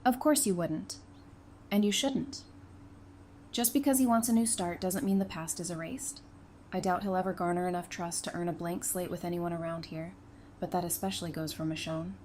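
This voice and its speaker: A woman's voice: fairly low pitch, steady tone, normal speed, a little mellow, slight background noise, close to an everyday voice. A woman playing a level-headed, supportive, teasing wife and mother in an audio drama, speaking frankly and conversationally. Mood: thoughtful, frank.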